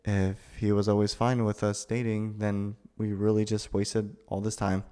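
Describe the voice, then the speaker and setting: A man acting a nervous, awkward, lovestruck young man in a gentle roleplay, with hesitant, stammering delivery. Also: lowish voice, little pitch movement, normal speed, clean tone, natural voice. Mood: resigned, hopeful. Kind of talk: ASMR boyfriend roleplay acting.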